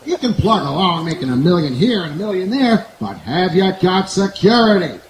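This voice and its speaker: A man's voice: sits high, big pitch swings, moderate pace. A man playing a brash, pushy small-time schemer with slangy patter in an old-time radio comedy. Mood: cocky. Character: brash, cocky small-time schemer boyfriend, fast-talking hustler. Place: outdoor woodland stage, live performance. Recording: echoey, noisy live outdoor stage sound.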